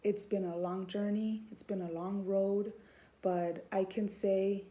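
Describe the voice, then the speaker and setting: A woman's voice: medium pitch, level tone, takes it slowly, mellow. A woman in her thirties from Houston, Texas, giving an earnest personal faith testimony, hesitant with many ums. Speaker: student and mother.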